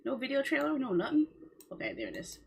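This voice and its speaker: A woman's voice: high voice, huge pitch swings, fast, vocal fry, darkish tone, a little hiss or hum. A woman game streamer chatting casually on a livestream in a playful, rambling way.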